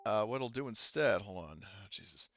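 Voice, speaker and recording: A man running an online tabletop roleplaying game as game master, thinking aloud in a halting way over a headset mic. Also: fairly low pitch, lively intonation, a bit bright, dull audio. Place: online voice session.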